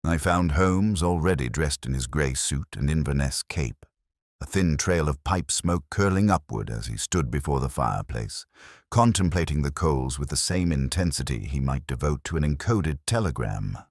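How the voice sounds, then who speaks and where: A man with an extremely deep voice narrates a scripted mystery. The delivery is measured and literary, with expressive rises and falls. The sound is dry and close, free of room echo. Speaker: audiobook narrator.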